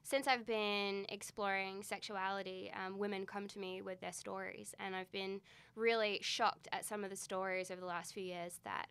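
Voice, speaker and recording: Smooth-voiced Australian woman. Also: mid-range voice, level tone, normal speed, a bit bright, no room sound. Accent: softened Australian. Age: 30s. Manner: upbeat, self-deprecating, laughs easily. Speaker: guest, photographer. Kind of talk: casual conversation. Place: small studio indoors.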